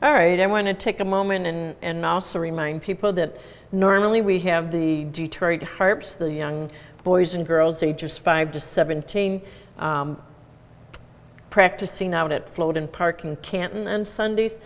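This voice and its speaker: A woman with a low, gravelly voice gives community announcements as a radio host. She speaks loosely and off the cuff, pausing on fillers as she goes. Her voice rings with the long reverb of a hall. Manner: relaxed, unscripted, with frequent fillers.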